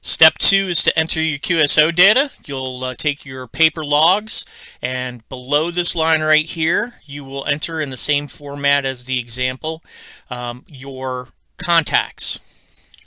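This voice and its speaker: A man with a very bright, forceful voice walks through software steps as an instructor. His delivery is halting and methodical, and the sound is crisp and dry, recorded close indoors at a computer. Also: a little high, dry recording. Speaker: tutorial instructor. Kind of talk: step-by-step software tutorial, unscripted explanation.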